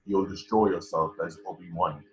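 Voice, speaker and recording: Man playing a deep, menacing dark lord. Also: steady tone, moderate pace, close to an everyday voice. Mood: cold menace. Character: menacing towering male dark lord villain. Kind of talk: script table read.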